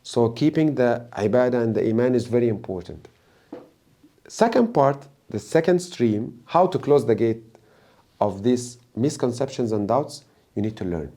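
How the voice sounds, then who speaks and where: A man from Egypt with an Egyptian accent, a native Arabic speaker, giving religious advice as a preacher in an emphatic, deliberate way. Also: mid-range voice, expressive, mellow. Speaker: Islamic preacher and guest.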